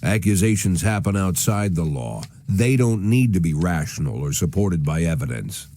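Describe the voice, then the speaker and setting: An actor playing a middle-aged, world-weary police chief in a noir video game, speaking in a deliberate, wry way. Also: male voice, sits low, lively intonation, mellow, dry recording, clean studio sound. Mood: brooding. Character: weary, brooding police chief facing forced retirement.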